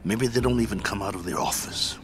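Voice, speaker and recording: An actor playing a stubborn, determined middle-aged ex-boxer in a radio drama, giving terse, commanding orders. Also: male voice, fairly low pitch, a little fast, noisy background, lightly acted. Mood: grim resolve.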